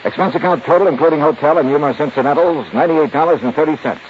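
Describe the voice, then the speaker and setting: A man's voice: fairly high pitch, racing, lightly acted. An American man acting a shrewd, hard-boiled investigator in a 1950s radio drama, with a clipped, confident, sardonic delivery. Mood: wry, matter-of-fact. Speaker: lead actor and narrator. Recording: muffled, noisy 1950s radio recording.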